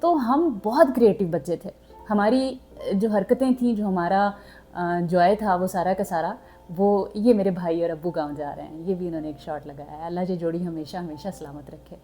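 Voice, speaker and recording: Young woman vlogger; relaxed chatty voice-over, mellow timbre. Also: lowish voice, moderate pace, soft background music. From Pakistan, southern Punjab. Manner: chatty, mixes in English words, frequent fillers. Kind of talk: casual chat to subscribers.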